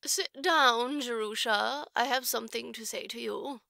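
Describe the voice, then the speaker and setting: A woman voicing a prim, condescending orphanage matron in an audiobook, with slow, formal, drawn-out delivery. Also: fairly high pitch, creaky, pushed and bright, no echo, studio quality, exaggerated voice. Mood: affable, self-important. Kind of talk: audiobook character voice.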